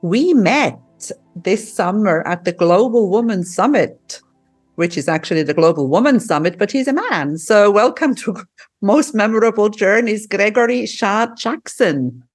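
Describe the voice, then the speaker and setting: A woman hosts an interview in a husky, breathy voice at a middle pitch. She welcomes her guest and asks questions in an encouraging way, with faint music in the background. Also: expressive, measured pace. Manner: warm, encouraging, with laughter and affirmations. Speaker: podcast host. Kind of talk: interview hosting.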